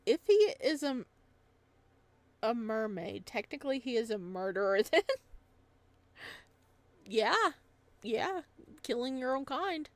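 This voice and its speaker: A woman's voice: on the high side, animated, slow pace, pauses often, no echo. A woman hosting a gaming video, reading a story aloud and adding casual commentary.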